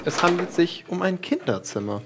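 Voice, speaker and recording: Slightly high-voiced male game master narrating. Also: big pitch swings, moderate pace, very noisy. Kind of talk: descriptive narration of horror tabletop game.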